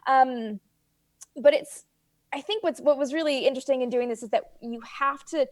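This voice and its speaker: High-voiced Canadian woman chatting as a guest. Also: moderate pace. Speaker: guest author. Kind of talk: casual interview chat.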